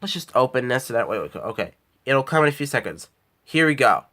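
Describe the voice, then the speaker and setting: A man's voice: mid-range voice, big pitch swings, fast, sharp tone. Man making casual rambling commentary. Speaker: amateur commentator on a read-along.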